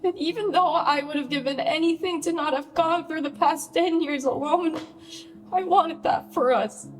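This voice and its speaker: A woman's voice: sits high, moderate pace, soft background music, natural voice. An actress playing a hurt, furious bride confronting her famous sister in a naturalistic radio play, scolding and pleading with emphatic profanity. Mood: wounded, bitter.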